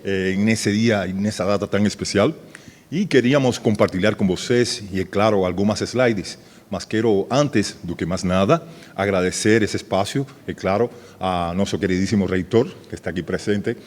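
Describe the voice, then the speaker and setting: A man's voice: on the low side, normal speed. A middle-aged man with a Cuban Spanish accent gives a formal academic presentation at a ceremony, hesitating with many fillers and tag questions. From Cuba. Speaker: research director giving a presentation. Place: large auditorium. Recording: long reverb of a large hall.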